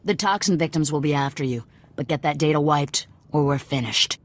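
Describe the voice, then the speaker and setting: A woman's voice: low-pitched, brisk, lightly acted. A woman playing a fanatical rebel cell commander in a video game, blunt, curt and threatening. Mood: hard, businesslike.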